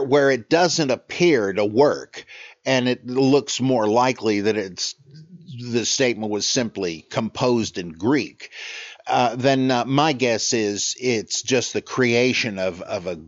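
An older man hosting a podcast, reading listener questions and answering them in a musing, halting way, full of "uh"s and self-corrections. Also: mid-range voice, no echo. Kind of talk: casual spoken Q&A on biblical scholarship. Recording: dull, lo-fi dry recording.

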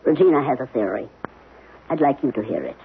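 Calm psychiatrist, medium-pitched, measured and frank, radio play, thin broadcast sound with light hiss. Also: male voice, vocal fry. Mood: calm, probing. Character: calm, probing male psychiatrist, frank family confidant. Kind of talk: 1970s radio drama acting. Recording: lo-fi 1970s radio broadcast, noisy.

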